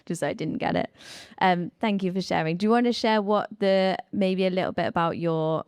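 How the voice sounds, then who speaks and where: A woman's voice: fairly low pitch, fairly quick, darkish tone, clear, resonant tone, no echo, studio quality. A young woman hosting a live discussion in an informal, encouraging way. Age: young adult. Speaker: host, neuroscientist. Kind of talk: casual live podcast moderation.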